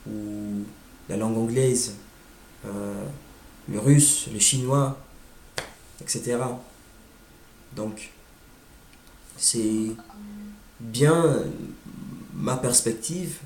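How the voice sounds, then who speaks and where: American man, native English speaker, unhurried and hesitant, slightly low voice, roomy sound. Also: warm tone, a little hiss or hum. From United States. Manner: hesitant, searching for words with many "euh" fillers. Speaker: language learner sharing his experience. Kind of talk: casual monologue.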